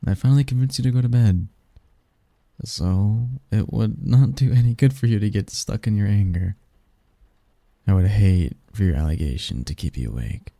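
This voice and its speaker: A man playing a possessive, teasing sleep demon lover in an intimate ASMR roleplay, speaking softly in formal, flirtatious phrases. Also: fairly low pitch, moderate pace, no echo, lightly acted. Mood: teasing, seductive. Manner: flirtatious, formal wording, soft intimate delivery, chuckling.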